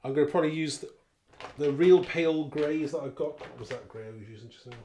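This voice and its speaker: A man's voice: on the high side, quick pace, mellow. A man giving a casual live craft demonstration, talking informally and thinking aloud as he works. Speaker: card making demonstrator. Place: indoor craft room. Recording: roomy echo, clear background noise, clicks.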